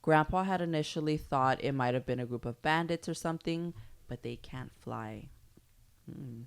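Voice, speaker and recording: A woman's voice: sits low, moderate pace, vocal fry, smooth. A woman whose first language is Spanish, reading a scary story aloud on a podcast and then chatting casually, often stumbling over words and laughing at herself. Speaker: podcast co-host.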